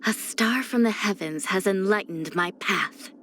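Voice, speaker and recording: A woman's voice: medium pitch, background noise, lightly acted. A woman as a weary, solemn young female judge. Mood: solemn, reflective. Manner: measured, grave, formal. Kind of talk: scripted video game character voice lines. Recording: music under the voice. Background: background music.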